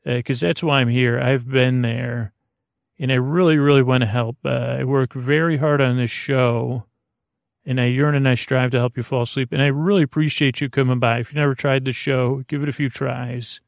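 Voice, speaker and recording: A man hosting a sleep podcast with a creaky voice, rambling gently and haltingly through soothing, slightly silly tangents. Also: mid-range voice, fairly even intonation, no echo, clean studio sound. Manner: meandering, halting, frequent tangents. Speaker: podcast host. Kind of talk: rambling bedtime monologue to lull listeners to sleep.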